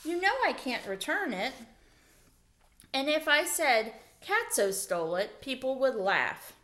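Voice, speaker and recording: A woman's voice: high-pitched, big pitch swings, unhurried, fairly bright tone, voice pushed up, exaggerated voice. A woman voicing an earnest, doubtful young boy at camp in a storybook reading. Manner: earnest, protesting.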